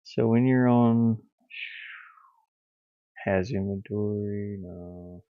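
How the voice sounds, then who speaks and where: Low-voiced man muttering, dull lo-fi sound. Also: extremely slow, dry recording. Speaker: hobby game developer streaming work. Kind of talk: casual thinking-aloud screen commentary. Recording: dull lo-fi audio, faint music. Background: faint music.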